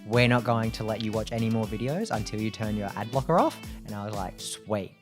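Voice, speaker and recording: A man's voice: lowish voice, a little fast, over music. A man chatting casually as a player in a tabletop game. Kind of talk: casual chat.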